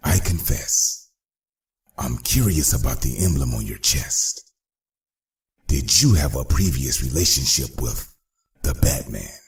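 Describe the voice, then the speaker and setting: An extremely deep male villain in a motion comic. He is a polite, menacing crime boss who speaks slowly and formally, with theatrical swings of tone. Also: expressive, heavily acted. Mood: smug probing.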